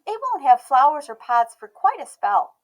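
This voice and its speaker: A woman voicing a gentle, practical mother in a storybook, soothing and patient. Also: high voice, sing-song, moderate pace, voice pushed up.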